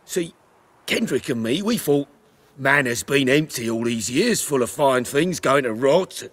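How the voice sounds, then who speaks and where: A man playing a cowardly, superstitious petty thief in a video game, nervous and stammering with a raspy voice. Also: medium pitch, lively intonation, a little slow, fairly bright tone. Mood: defensive, uneasy. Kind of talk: video game character acting.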